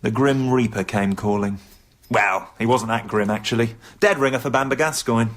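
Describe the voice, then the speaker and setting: An English actor playing an earnest, wry comedian and recovering drinker in a radio comedy drama. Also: male voice, mid-range voice, moderate pace, fairly bright tone, natural voice. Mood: wry narration.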